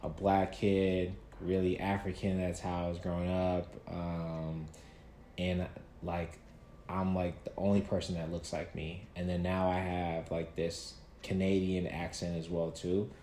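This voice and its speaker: A man in his thirties from Nigeria, a guest talking casually about his life, relaxed, with many fillers and restarts. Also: low voice, unhurried. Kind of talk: casual interview storytelling. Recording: echoey room with faint noise.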